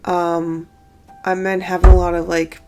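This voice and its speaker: A young woman with a hoarse, stuffy morning voice, chatting casually and self-critically about her own paintings in an art vlog. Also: on the low side, monotone, measured pace, music in the background. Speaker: art vlogger. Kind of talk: casual talking-head recap.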